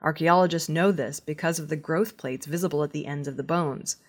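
Husky woman reading news. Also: low voice. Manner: measured, formal newsreader delivery. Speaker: news presenter. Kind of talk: scripted news narration.

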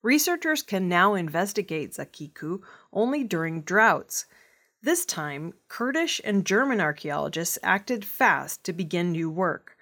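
A woman's voice: on the low side, very animated, a little slow, a bit bright. A woman news reader delivering scripted news narration.

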